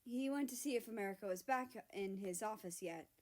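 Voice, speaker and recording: A woman's voice: medium pitch, a little fast, darkish tone. Woman reading a story aloud. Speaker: narrator reading own fan fiction aloud.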